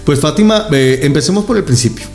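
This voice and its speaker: A man hosts a radio interview in a warm, mellow voice. He talks with enthusiasm, throws in exclamations and pauses on hesitant fillers, while soft music plays faintly underneath. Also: on the high side, lively intonation. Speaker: radio host.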